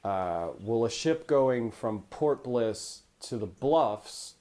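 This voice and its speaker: Male tabletop player with lively, swinging pitch. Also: slow. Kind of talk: casual in-game planning talk.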